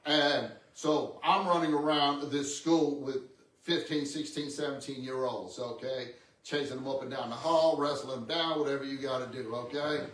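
A man's voice: mid-range voice, fairly even intonation, moderate pace, fairly bright tone. A man in his sixties preaching a conversational teaching sermon, often repeating words and checking in with amen and okay. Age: 60s. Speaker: pastor preaching. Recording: echoey room, distant microphone.

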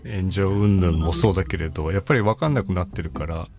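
A man's voice: low-pitched, quick pace, darkish tone. A middle-aged man chatting casually and reflectively as a podcast guest. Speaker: guest, band saxophone and flute player. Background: guitar music.

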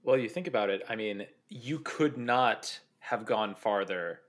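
A man's voice: fairly low pitch, unhurried, a bit bright. A man hosting a casual interview podcast, asking friendly, curious questions with lots of "uh"s. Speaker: podcast host.

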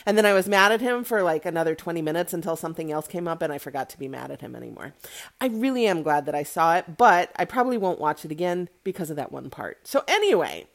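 A woman's voice: fairly low pitch, sing-song, fast, gravelly. A woman hosting a casual, opinionated solo movie commentary, talking in a chatty, candid way with conversational asides. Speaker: podcast host giving movie reviews.

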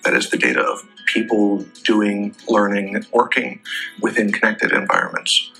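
A man gives an explanatory business presentation in a very bright, sharp voice that sits fairly low. He pauses and hesitates often as he works through each phrase, with a gravelly creak in the tone. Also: very animated, soft background music, poor audio. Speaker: presenter for a data technology company.

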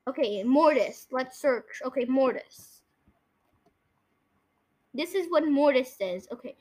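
A young female-sounding podcast host chatting casually in an excitable way, often repeating phrases, during a guessing game. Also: high voice, takes it slowly.

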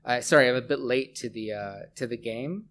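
A middle-aged Canadian man speaking as a scientist guest in a conversational interview, pausing often as he thinks. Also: mid-range voice, a bit bright. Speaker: interview guest, research scientist and lab head.

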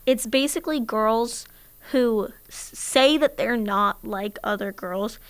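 Raspy, straightforward young woman. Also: a little high, slow. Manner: straightforward, assertive, laughs often. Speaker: podcast co-host. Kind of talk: casual podcast chat.

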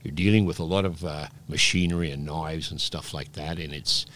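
A man in his sixties, raised in Peru, telling rambling stories with many restarts as a guest in a relaxed interview. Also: rumbling low, a little fast, slight background noise. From Scotland, raised in Peru. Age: 60s. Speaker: guest, oyster bar operator.

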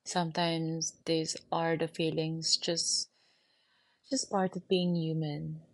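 A Filipino woman with a Filipino accent and a low, gentle voice hosts a reflective podcast from her bedroom. She talks slowly and soothingly and stays calm and intimate throughout. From Philippines. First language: Tagalog. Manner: soft and soothing, slow, with frequent small laughs. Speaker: podcast host. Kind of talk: gentle guided reflection and casual chat. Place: bedroom, sitting on the bed.

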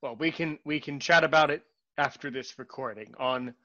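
A man hosting a podcast interview in casual chat, halting and repeating his words, with a sarcastic streak. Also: fairly high pitch, pushed and bright, highs cut off. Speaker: podcast host.

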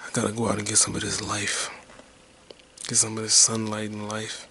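A man in his twenties talks in a low, monotone murmur, drifting from thought to thought with a detached, low-energy air. His voice sits deep and barely rises or falls, and a faint hiss hangs under the recording. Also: normal speed. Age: 20s. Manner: low-key, detached, mumbling; whispered rambling. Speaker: podcast host. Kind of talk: rambling solo podcast monologue.

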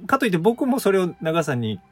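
A man's voice: high voice, sing-song, fast, darkish tone, breathy edge, faint music behind. A man, a theater company member, talking casually and a little sheepishly on a radio show, explaining things in detail. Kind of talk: casual chat about theater rehearsals.

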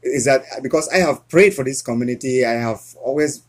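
Earnest Cameroon-born male guest, husky, halting delivery. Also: medium pitch. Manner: earnest, halting with frequent restarts. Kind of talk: casual interview conversation.

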